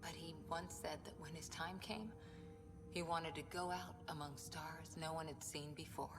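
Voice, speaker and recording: An actress plays a ship's doctor in a sci-fi game, a caring woman with authority. Her voice is fairly low, with long reverb and clear music behind it. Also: fairly even intonation, normal speed, close to an everyday voice. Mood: gentle, sympathetic. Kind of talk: video game cutscene acting.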